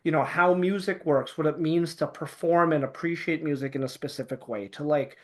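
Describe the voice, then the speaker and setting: A man's voice: fairly high pitch, normal speed. A man with a Canadian accent, a podcast guest explaining things in casual, talkative style with plenty of filler phrases like "sort of". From Canada, Toronto area, born in Hong Kong. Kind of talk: casual chat.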